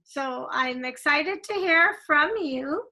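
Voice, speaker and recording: A woman from Guyana hosts a video-call interview in a chatty, eager way. Her voice is high and bright, with a clear, lively edge, and her statements often rise like questions. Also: slow. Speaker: interview host.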